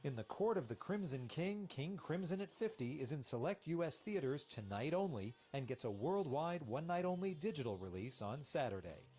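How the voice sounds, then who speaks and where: A man reporting entertainment news in a scripted, expressive reporter's delivery. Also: on the high side, normal speed, hardly any pauses.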